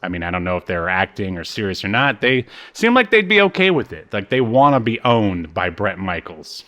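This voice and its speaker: Male podcast co-host, lower register, informal TV chat, halting and hesitant delivery. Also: big pitch swings, fairly bright tone, highs cut off. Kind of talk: casual chat about a TV show.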